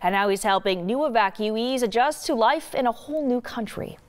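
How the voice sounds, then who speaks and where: A woman news anchor reading a story introduction in a TV studio, crisp and polished. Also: fairly high pitch, normal speed.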